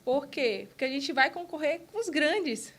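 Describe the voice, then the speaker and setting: A woman's voice: high-pitched, expressive, normal speed, a bit bright. A woman from Brazil, an entrepreneur being interviewed on a podcast, speaking earnestly in short bursts with frequent restarts. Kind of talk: casual interview conversation.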